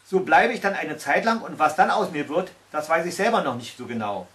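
A man voicing a small, gentle magical creature in a fairy tale, speaking in earnest announcements. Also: fairly high pitch, animated, fairly quick. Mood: solemn.

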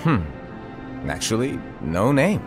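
An actor playing a playful, mischievous master and mentor in a game cutscene, teasing and theatrical. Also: male voice, medium pitch, sing-song, over music. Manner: theatrical, teasing, drawn-out wordy delivery. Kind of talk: animated game cutscene dialogue.

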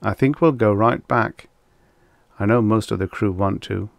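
A man's voice: lowish voice, measured pace, gravelly, no room sound, clean studio sound. A man voicing a grim, matter-of-fact spaceship captain in an audiobook. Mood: sober, decisive. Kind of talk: audiobook character voice.

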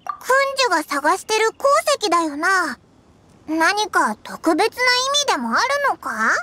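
A woman voicing a tiny, childlike, excitable fairy companion in an anime game, curious and blunt. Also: measured pace, full character voice. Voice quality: squeaky, childlike.

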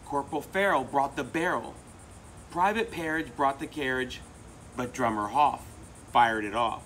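A middle-aged American man with an expressive, emphatic delivery, presenting and reading a children's picture book aloud. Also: mid-range voice, measured pace. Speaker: volunteer storybook reader. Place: outdoors among palm trees. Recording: outdoor recording with insect noise. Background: crickets and insects.

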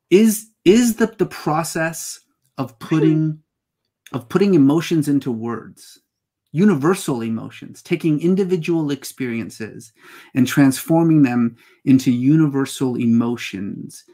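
A man's voice: on the high side, darkish tone. A man in his forties hosting an interview from a home study, asking thoughtful, probing questions through earbud headset mic on a video call. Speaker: talk show host, interviewer.

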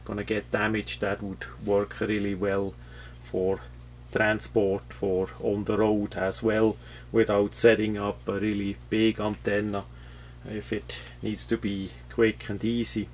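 A non-native English-speaking man from Switzerland with a Swiss accent, explaining a product off the cuff in a halting, matter-of-fact, rambling way. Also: lowish voice, little pitch movement. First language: not English. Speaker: product reviewer. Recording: clear background noise with hum.

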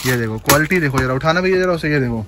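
A man hosting a market tour vlog, repeating prices in quick, repetitive patter inside a busy wholesale shop. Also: a little high, animated, background noise. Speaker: vlogger host.

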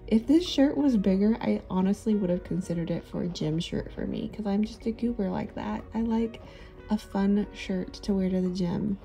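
A woman in her thirties narrating a thrift shopping trip in a chatty, relaxed, easily amused way. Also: mid-range voice. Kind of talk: casual voice-over narration.

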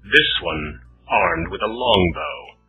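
A man giving measured, dramatic scripted narration for a fantasy audio drama. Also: sits low, expressive, phone-quality sound. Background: orchestral game music.

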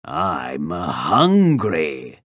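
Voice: extremely low pitch, wildly swooping pitch, very slow, no echo, studio quality, pitched below the performer's own voice, exaggerated voice. A storyteller voicing a big bad wolf from a children's tale, growling and snarling with falsely sweet, greedy menace. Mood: hungry, grumbling.

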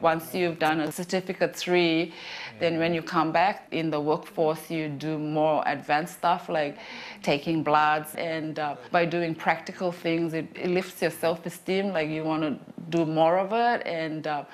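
Soft-spoken woman, lowish voice. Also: unhurried, hardly any pauses. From Torres Strait Islands. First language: Torres Strait Islander language. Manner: calm, soft-spoken, many "um" and "like" fillers. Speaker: health worker. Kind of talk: clinic consultation and interview. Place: small clinic consulting room, indoors.

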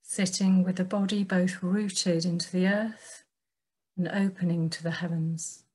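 Husky woman leading a guided meditation. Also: on the low side, little pitch movement. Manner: gentle, unhurried phrasing with long pauses. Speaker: meditation teacher.

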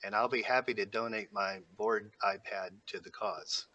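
A man's voice: fairly low pitch, fairly even intonation, moderate pace, muffled recording, noisy background. A man speaking earnestly at a school board meeting over a video call, walking through figures. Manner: earnest, reasoned, cites figures.

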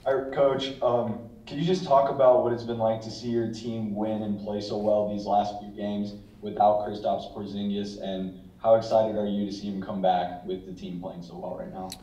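A man's voice: on the low side, level tone, normal speed, hardly any pauses, warm tone. A male reporter putting a plain question to a coach at a press conference. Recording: distant, roomy, dull audio.

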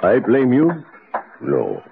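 An actor playing a sly, grave native servant man with formal, deliberate phrasing in an old radio drama. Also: mid-range voice, huge pitch swings, gravelly, full character voice. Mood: soft, coaxing. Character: sly native male servant, grave and courteous, quietly menacing. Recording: noisy, narrowband old radio recording.

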